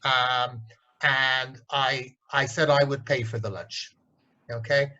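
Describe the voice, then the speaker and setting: Blunt, animated man on a lo-fi call. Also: medium pitch, takes it slowly. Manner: blunt, animated, mimics voices in stories. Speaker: organisation development consultant. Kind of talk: candid interview storytelling.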